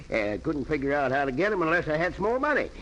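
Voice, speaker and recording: A man's voice: on the high side, sing-song, fast talker, fairly bright tone. A man playing a folksy, blustering old rancher uncle with a rustic drawl and a rambling, eager manner, in 1940s radio drama style. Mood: hearty, eager. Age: older. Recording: noisy 1940s radio recording.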